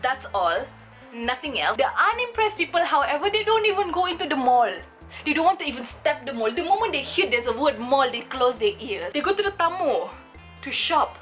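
A woman's voice: high voice, fairly quick, soft background music. A young woman from Sabah with a Malaysian English accent, doing a comedic vlog in a small bedroom and talking to a close camera. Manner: expressive, animated, switching into Malay. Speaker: vlogger.

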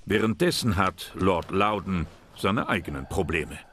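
Deep-ish guy narrating a history documentary, music playing behind him. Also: animated, measured pace. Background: background music.

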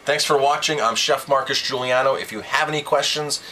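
A man's voice: mid-range voice, brisk, pushed and bright, a little hiss or hum. A man giving informal, instructional advice as a restaurant owner, conversational and emphatic, often posing rhetorical questions. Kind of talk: informal instructional video talk.

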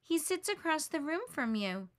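A woman reading aloud, voicing a calm, earnest boy who encourages his friend. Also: high-pitched, normal speed, pitched above the performer's own voice. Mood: explaining.

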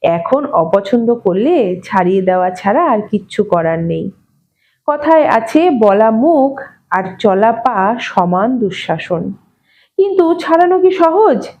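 Measured, higher-pitched woman storyteller. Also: big pitch swings, darkish tone. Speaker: story reader. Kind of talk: expressive audio story narration.

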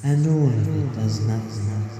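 Sinister tormentor , male actor, low and slow, coldly insistent, in cavernous reverb for audio drama. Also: noisy background, natural voice. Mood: menacing, relentless. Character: sinister coaxing male tormentor voice preying on a victim. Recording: long echoing reverb under loud music.

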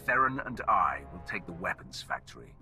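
A man's voice: sits low, big pitch swings, a little fast, bright, forceful tone, full character voice. An actor voicing a commanding male Sith warrior who leads an alliance army, speaking in a cold, clipped way in a video game. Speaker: player character, alliance commander. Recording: game audio with music score.

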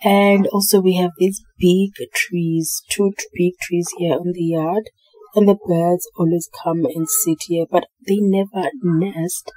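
A South African woman narrating her cleaning vlog in a relaxed, chatty voice-over, often repeating herself. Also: on the low side, measured pace, husky, rough recording. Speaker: home cleaning vlogger.

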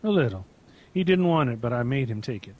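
Man playing a lively, stubborn older father. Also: mid-range voice, fairly quick, phone-quality sound. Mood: easygoing, pondering. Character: stubborn, curious, jumpy father of a grown son. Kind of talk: radio drama acting. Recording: faint background noise and ambience.

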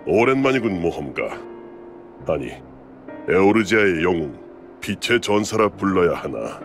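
A stern, middle-aged former legion commander, speaks in a very low voice. His delivery is grave, measured and formal, as in a dramatic game cutscene, with music playing faintly behind him. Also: sing-song. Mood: solemn. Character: stern former imperial legion commander, solemn wandering man. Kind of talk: scripted game cutscene acting.

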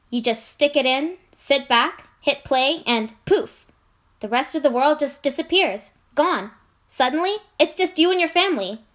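A woman with a high-pitched voice performs scripted commercial copy. Her delivery is that of a voice-over artist, polished and conversational, pitched to sell to the listener. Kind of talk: scripted advertising read.

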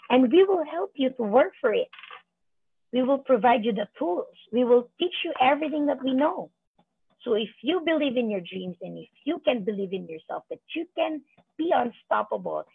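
A woman's voice: a little high, creaky, breathy edge, no room sound. Rousing woman on a tinny video call. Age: 30s. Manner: rousing, repetitive, preacher-like. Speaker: business coach. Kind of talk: motivational speech on a video call.

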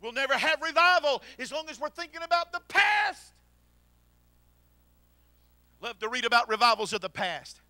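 A middle-aged man preaching a sermon into a handheld mic in a church, swinging from shouted exhortation to quiet storytelling. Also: very high voice, big pitch swings, normal speed, pauses often, dry recording. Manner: preaches while pacing the platform. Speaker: pastor. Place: church sanctuary.

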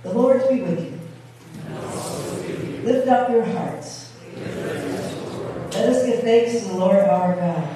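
Pastor leading liturgy in an echoey church. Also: female voice, on the high side, far from the mic, background noise. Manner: measured, formal liturgical reading. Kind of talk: liturgy at a communion service. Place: church sanctuary with brick wall, indoors. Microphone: far camera at the back of the chancel.

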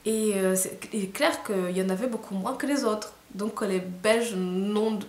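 A woman's voice: medium pitch, measured pace, darkish tone. A young woman from Rwanda, talking to camera at night, tired and hesitant, with many fillers and restarts. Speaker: vlogger. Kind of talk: casual reflective monologue to camera. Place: small room indoors. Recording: roomy echo, faint hiss.

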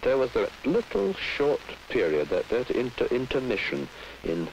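A man interpreting into English in a measured, halting way, recorded in an old broadcast. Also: mid-range voice, wildly swooping pitch, low-quality recording. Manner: measured, halting, restarts phrases. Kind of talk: live interpreting. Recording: old broadcast with faint hiss.

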